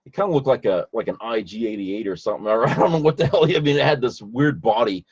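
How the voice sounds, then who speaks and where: A man's voice: medium pitch, fast, a little mellow, husky, no echo. A man co-hosting a podcast, chatting casually in a loose, rambling way, on slightly dull lo-fi audio. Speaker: podcast co-host. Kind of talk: casual chat.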